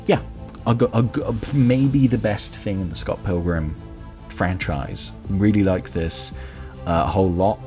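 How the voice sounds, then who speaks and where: A man hosting a show, talking casually and haltingly through a film countdown with blunt, emphatic opinions. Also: low-pitched, darkish tone. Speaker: show host.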